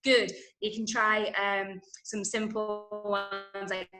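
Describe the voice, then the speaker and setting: A woman with an English accent teaching an online drama workshop, enunciating clearly and coaching with encouragement. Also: mid-range voice, flat delivery, slow, sharp tone, soft background music. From Manchester, England. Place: indoor room, online video call. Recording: roomy, echoing video-call sound.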